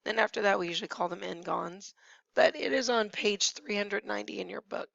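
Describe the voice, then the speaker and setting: A woman math teacher who has nearly lost her voice, hoarse and at times whispering, patiently talking through each step of a screencast lesson. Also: medium pitch, steady tone, brisk, fairly bright tone. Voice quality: hoarse, gravelly, sometimes dropping to a whisper. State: losing her voice. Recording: dry, dull lo-fi screencast audio.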